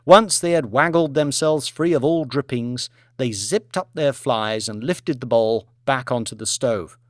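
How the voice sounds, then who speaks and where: A man's voice: mid-range voice, measured pace, no echo. A man reading scripted, dramatic audiobook narration into a close microphone.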